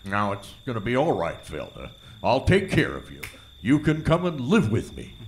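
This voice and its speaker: A man's voice: mid-range voice, huge pitch swings, normal speed, slight background noise. A man playing an earnest, befuddled, lovesick small-town sheriff in a comic radio play, performed live on a theater stage.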